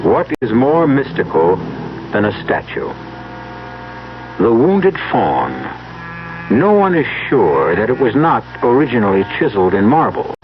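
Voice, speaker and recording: An actor narrating a radio mystery tale in a solemn, measured, portentous way. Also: male voice, medium pitch, background noise. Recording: muffled vintage radio recording. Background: music.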